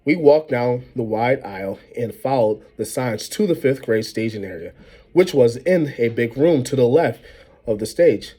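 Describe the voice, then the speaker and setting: A man reading a children's novel aloud in an animated way, as a storyteller acting out the dialogue. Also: medium pitch, moderate pace, husky. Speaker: reader reading a book aloud.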